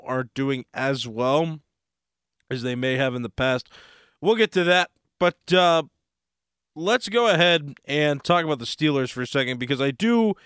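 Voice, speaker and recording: An American man hosts sports talk radio in a fairly high, clean voice with a touch of hoarseness. He talks casually and takes his time, pausing mid-thought. The sound is close and dry. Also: a bit bright, no room sound. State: slightly hoarse.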